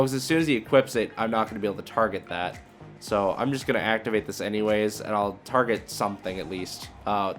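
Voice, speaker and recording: Male gameplay commentator, lowish pitch, casual and hesitant, reasoning aloud over light background music. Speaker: video creator and card game player.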